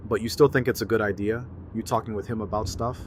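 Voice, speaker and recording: A man's voice: on the low side, fairly even intonation, quick pace, slight background noise, lightly acted. A man acting an easygoing, friendly buddy with casual, slangy talk in an audio drama. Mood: casual, candid. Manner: casual, slangy, laid-back.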